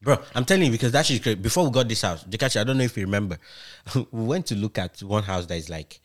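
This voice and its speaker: A man's voice: fairly low pitch, animated, quick pace. A young man in a casual podcast chat, playful and teasing, who often repeats his phrases. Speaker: podcast co-host.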